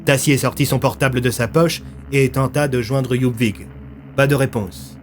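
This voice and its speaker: A man's voice: medium pitch, steady tone, moderate pace. A man narrating a scripted dramatic story in a grave, theatrical way. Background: music.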